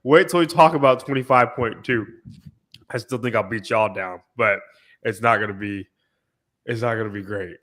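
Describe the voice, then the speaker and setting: A man's voice: fairly low pitch, normal speed, a bit bright. A man hosting a casual podcast chat, slightly nasal and congested from a cold, teasing and coaching his friends. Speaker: podcast host.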